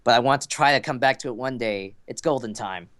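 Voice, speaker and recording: A young man chatting casually as a podcast guest, excitable and sweary, poking fun at himself with theatrical bursts. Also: medium pitch, a little fast. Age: young adult. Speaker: podcast guest, amateur voice actor and fandubber. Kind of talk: casual chat.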